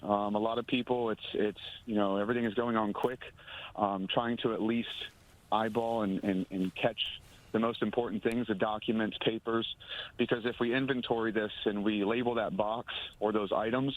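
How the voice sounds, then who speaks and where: A man, a moving company owner, speaking on a phone interview, halting with many ums and restarts. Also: on the low side, steady tone. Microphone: phone line. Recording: phone line audio.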